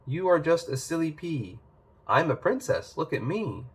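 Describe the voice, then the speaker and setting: A man's voice: on the high side, very animated, normal speed, husky, pitched above the performer's own voice, heavily acted. A man voicing a dainty, fussy, vain young princess in a storybook read-aloud. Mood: haughty.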